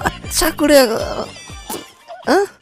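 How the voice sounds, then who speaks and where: A performer voicing a mischievous, scheming sidekick in a comedy cartoon, exaggerated and egging others on. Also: drawn-out words. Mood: gleeful. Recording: music behind the voice.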